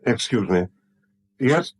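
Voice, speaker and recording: A middle-aged English businessman in 1970s British radio drama. His voice sits at a medium pitch, and he keeps up his manners while sounding anxious and shaken. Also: lively intonation, slow pace, husky. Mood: resigned, shaken.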